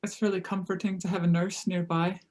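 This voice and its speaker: A woman's voice: lowish voice, level tone, brisk, darkish tone, natural voice. A woman reading the part of a timid, vulnerable survivor in a drama script table read. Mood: timid, fearful. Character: Emma, timid, frightened pregnant woman survivor.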